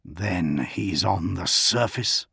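A man voicing a brooding, proud warlord in an audiobook, speaking in low, terse, growling commands. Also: slow pace, vocal fry, pushed and bright, breathy edge, no echo, studio quality, full character voice. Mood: grim. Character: brooding male warlord primarch, proud, secretive, quietly wrathful. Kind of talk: dramatic audiobook character.

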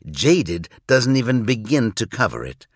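A man's voice: medium pitch, big pitch swings, a little slow, no room sound, studio quality. A man voicing a weary, sardonic, long-lived human in an audiobook, with a bitter, sarcastic edge. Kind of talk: audiobook reading.

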